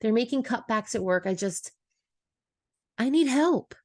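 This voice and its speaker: A woman voicing an anxious, flustered aunt in a dramatic audio story, halting and emphatic. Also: on the high side, wildly swooping pitch, no echo, clean studio sound, pitched above the performer's own voice, heavily acted. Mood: overwhelmed. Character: anxious, flustered mother-figure aunt, irritable and overwhelmed.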